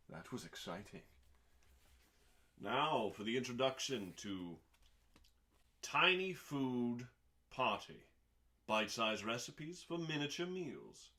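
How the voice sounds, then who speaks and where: A man reading recipes aloud as a host, in a dramatic audiobook style with deliberate pauses and emphasis. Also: lowish voice, sharp tone.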